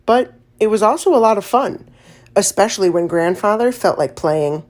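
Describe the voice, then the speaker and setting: A woman reading a children's story aloud as an audiobook narrator, in an expressive storytelling manner. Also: mid-range voice.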